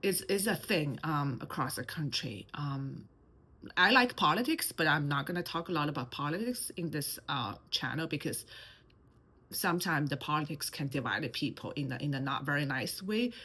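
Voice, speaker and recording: Woman in her 30s, Mandarin-accented English, low husky voice, hesitant personal vlog delivery. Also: expressive, moderate pace, sharp tone. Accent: Mandarin Chinese. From China. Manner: hesitant, many fillers and restarts. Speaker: channel host. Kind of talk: personal vlog talk to camera.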